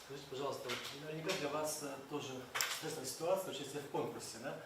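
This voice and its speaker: A man on the jury asking a quick, polite question. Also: fairly high pitch. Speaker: jury member. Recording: distant, echoey, noisy room.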